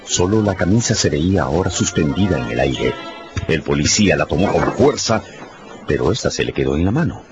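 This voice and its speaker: A male narrator with a low voice tells a scripted radio story. His delivery is dramatic and lively in intonation. Music plays behind him. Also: a little fast, dull audio.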